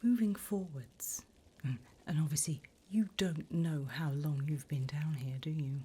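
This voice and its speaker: A woman playing a courteous, sinister demon interviewer in a slow, breathy whisper for an ASMR roleplay. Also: sits low, heavily acted. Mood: politely sinister. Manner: slow, deliberate, courteous, softly menacing. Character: infernal demon interviewer, politely sinister, delighted by wickedness.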